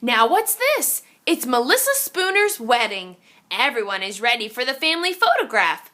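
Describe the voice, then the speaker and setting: A woman's voice: a little high, sing-song, moderate pace, sharp tone. A woman reading a children's picture book aloud in a theatrical, suspenseful way, drawing out her exclamations. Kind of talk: animated children's story read-aloud.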